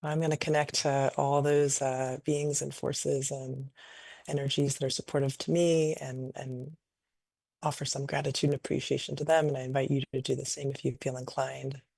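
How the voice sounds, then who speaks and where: A woman leading a guided meditation in a gentle, unhurried, invitational way, improvising softly and leaving long pauses. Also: rumbling low, flat delivery, vocal fry, no room sound. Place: online video call session.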